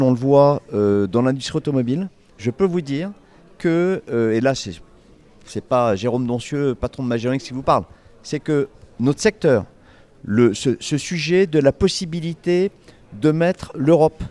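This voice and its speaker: A man with a medium-pitched voice speaks spontaneously at a public debate as an entrepreneur. His delivery is emphatic and colloquial, breaking up as he builds each point. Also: a little mellow.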